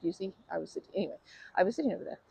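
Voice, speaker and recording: A woman playing a timid, kind park regular in an ASMR roleplay, speaking softly in halting, stammering, self-correcting sentences. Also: on the low side, animated, vocal fry, husky, heavily acted, poor audio. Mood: nervous, hesitant. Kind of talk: ASMR roleplay, improvised-sounding intimate monologue.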